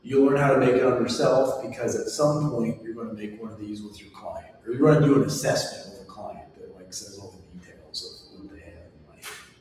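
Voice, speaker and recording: Man in his forties, casual instructor with personal asides, booming echo, mic far away. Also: fairly quick, a little mellow, slight background noise. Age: 40s. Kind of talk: casual classroom lecture. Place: classroom. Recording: echoey hall recorded from far off.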